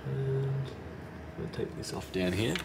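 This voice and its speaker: A man's voice: medium pitch, measured pace, very noisy. A man giving casual hands-on repair commentary as a technician, thinking aloud tentatively and correcting himself as he works. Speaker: repair technician, video host. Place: indoors at a repair workbench.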